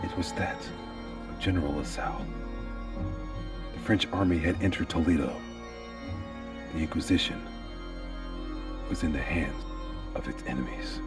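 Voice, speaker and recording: Deep-voiced man narrating gothic horror, music underneath. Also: moderate pace, long pauses, far from the mic. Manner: theatrical, anguished, intense delivery. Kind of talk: dramatic first-person audiobook narration of gothic horror.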